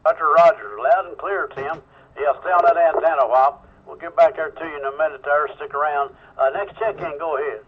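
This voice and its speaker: Tinny, higher-voiced man running radio check-ins. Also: a little fast. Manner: folksy, informal. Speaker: amateur radio net control operator. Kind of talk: casual radio check-in chat. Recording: thin phone-like radio audio, webcam mic.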